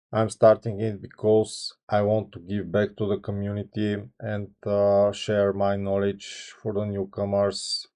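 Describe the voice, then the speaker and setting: A man in his thirties with a Bulgarian accent, a software engineer talking to camera without a script, hesitant, with many ums and restarts. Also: on the low side, vocal fry. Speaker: software engineer channel host. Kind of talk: unscripted talk to camera.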